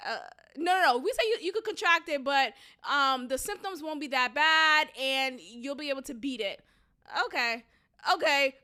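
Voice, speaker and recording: A high-voiced young woman with a Boston accent, hosting her own podcast. Her tone is bright and sharp, and she delivers her views with sarcasm and heavy emphasis. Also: expressive, moderate pace. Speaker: podcast host. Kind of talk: solo opinion commentary podcast.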